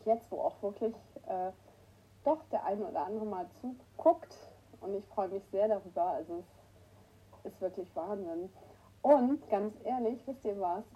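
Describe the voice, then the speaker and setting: A woman with a cold hosting a casual morning livestream, greeting her viewers by name and clearing her throat often. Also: mid-range voice, normal speed, muffled recording. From northern Germany. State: has a cold, hoarse at times. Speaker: livestream host. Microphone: new microphone that is not working right.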